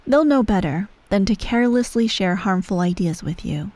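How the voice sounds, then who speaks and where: A woman playing a menacing, coolly doting empress mother in soft, breathy ASMR roleplay, measured and formal, often near a whisper. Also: medium pitch, dry recording, natural voice. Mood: quietly menacing. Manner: measured, formal, veiled threats, breathy sighs.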